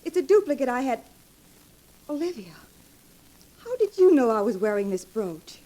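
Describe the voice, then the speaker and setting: A woman's voice: fairly high pitch, very animated, normal speed, mellow, low-quality recording. An actress playing a composed, loyal young woman with an earnest, proper delivery in a 1940s radio melodrama. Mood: shocked, firm. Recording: vintage 1940s radio recording.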